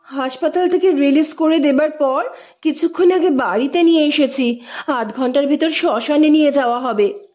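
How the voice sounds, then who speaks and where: A woman's voice: high-pitched, normal speed, darkish tone, pitched above the performer's own voice, natural voice. Woman acting a choked, grieving daughter on the phone. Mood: choked, subdued. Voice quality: choked, broken, slurred voice. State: crying. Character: grieving, sobbing daughter breaking news on phone.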